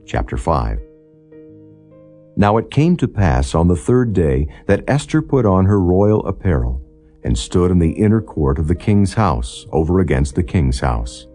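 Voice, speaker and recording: A man narrating scripture as an audiobook in a solemn, measured, formal storytelling delivery. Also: low-pitched, sing-song, mellow, soft background music. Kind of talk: solemn scripture reading, non-dramatized.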